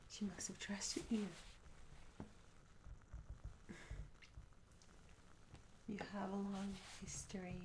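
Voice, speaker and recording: A woman playing a curious, polite medieval barmaid in a soft, breathy whispered ASMR roleplay, hesitant and courteous with old-fashioned formal phrasing. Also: mid-range voice, noisy background, natural voice. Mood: attentive, curious. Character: curious, polite young medieval tavern barmaid, eager for tales.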